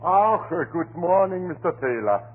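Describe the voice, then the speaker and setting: An actor playing a garrulous, good-natured German butcher with a German accent, in 1930s radio dialect style. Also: male voice, high voice, sing-song, a little slow. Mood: chatty. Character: chatty, folksy German immigrant butcher. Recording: tinny, hissy 1930s radio recording.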